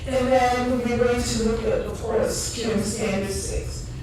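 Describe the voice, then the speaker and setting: A woman's voice: on the high side, slow pace. Cameroonian woman presenting in an echoey hall. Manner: lively and chatty, joking with the audience. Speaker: conference presenter. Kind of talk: conference talk. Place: conference room. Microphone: handheld or stand microphone in a room. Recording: distant echoey room recording with noise.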